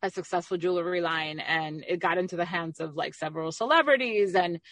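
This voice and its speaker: A woman's voice: lowish voice, a little fast, fairly bright tone, no echo. Candid, talkative woman in a dry recording. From Dominican Republic. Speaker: interview guest, magazine owner and entrepreneur. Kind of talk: candid conversational interview answers.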